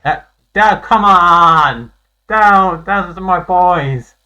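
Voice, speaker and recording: A man giving casual live playthrough commentary as a game streamer, excitable and easily amused. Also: high voice, drawn-out words.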